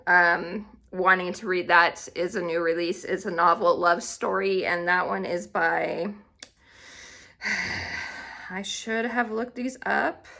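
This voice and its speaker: Bright-voiced woman chatting casually, unhurried. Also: mid-range voice. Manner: chatty, self-correcting, informal. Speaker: book content creator and host. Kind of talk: casual chatty book vlog.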